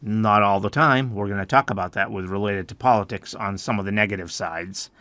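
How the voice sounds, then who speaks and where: A man from the United States hosting an educational podcast, explaining things in a relaxed way with many "I mean"s and restarts. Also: lowish voice, a little fast, no echo. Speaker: podcast host.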